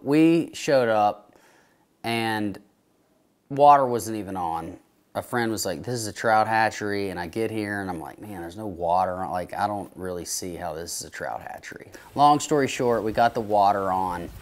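Low-voiced chatty trout farmer. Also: male voice, moderate pace. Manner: relaxed, chatty, full of fillers. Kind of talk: casual interview. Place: indoors at a table in a room.

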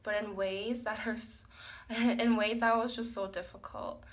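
A young woman with a raspy, hoarse voice chatting softly to a phone camera in a small tiled bathroom, with lots of fillers. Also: on the high side, fairly even intonation, unhurried. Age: young adult. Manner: soft-spoken, many fillers, laughs often. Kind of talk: casual reflective chat to camera. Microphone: phone held at arm's length.

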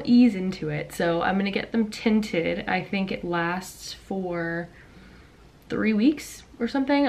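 A young woman vlogging casually from her bedroom, chatty and rambling with lots of ums and likes, her voice raspy from just waking up. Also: on the low side. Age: young adult. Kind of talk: casual vlog chat. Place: bedroom at home. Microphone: camera in front at desk.

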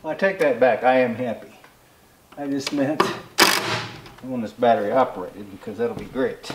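A man's voice: mid-range voice, normal speed, very noisy. A middle-aged man casually explaining his building work with many fillers, recorded on a camera mic inside an unfinished cabin. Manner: relaxed, folksy, talks while working with many fillers. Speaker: homesteading vlogger. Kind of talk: casual how-to narration while working. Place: unfinished cabin interior with plastic-sheeted walls. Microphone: camera mic from across the room. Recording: roomy, slightly echoey camera audio.

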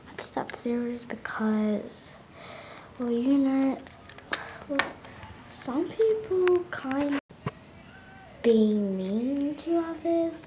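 A woman's voice: high-pitched, animated, extremely slow, pauses often. A woman talking in a casual vlog, rambling with many fillers and restarts and often dropping into a whisper. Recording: noisy home phone recording.

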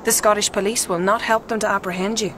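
A woman's voice: mid-range voice, steady tone, fast, noisy background, close to an everyday voice. An actress playing a bold, teasing young upper-class woman in a 1920s radio drama.

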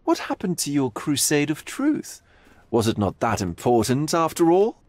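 An actor playing a languid, flamboyant art lover in a video game, speaking with arch theatrical drawl. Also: male voice, a little high, huge pitch swings, moderate pace, a little mellow, dry recording. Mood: bored politeness. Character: droll, languid, flamboyant male art aesthete. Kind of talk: video game cutscene acting.

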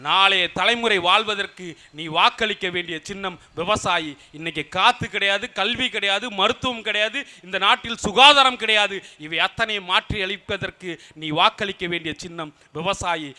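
A man's voice: very high-pitched, bright, forceful tone. A man giving a political rally speech. He uses rhythmic, repeated appeals to vote. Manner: rhythmic, repeating a campaign refrain. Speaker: party speaker at a public meeting.

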